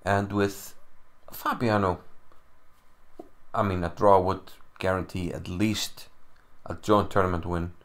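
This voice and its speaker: A man's voice: sits low, fairly even intonation, takes it slowly. A man with an Icelandic accent casually analyzing a chess game from home, chatty and self-deprecating as he thinks aloud. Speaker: chess commentator. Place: home room. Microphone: computer mic, no camera.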